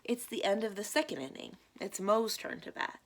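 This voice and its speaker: A woman reading children's stories aloud as a library storytime reader, in a deliberate, expressive way. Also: mid-range voice, a little hiss or hum.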